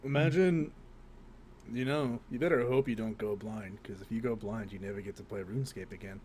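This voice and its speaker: A man's voice: on the low side, a little fast, darkish tone. A man co-hosting a podcast in casual, joking chat, with crude, sweary banter. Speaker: podcast co-host. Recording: noisy background.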